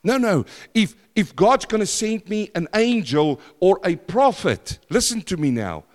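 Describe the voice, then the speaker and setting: Loud, emphatic preacher in a reverberant hall. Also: male voice, sits high, very animated, a little slow. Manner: loud, emphatic, swings from shouting to hushed. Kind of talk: sermon. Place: church.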